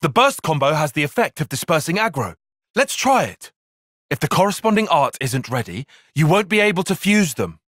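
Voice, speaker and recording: A man's voice: on the high side, very animated, moderate pace, fairly bright tone, dry recording, studio quality. An actor voicing an earnest, calculating and proud young male tactician in a video game, speaking formally and precisely with intensity. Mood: calm analytical. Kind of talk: video game battle voice lines.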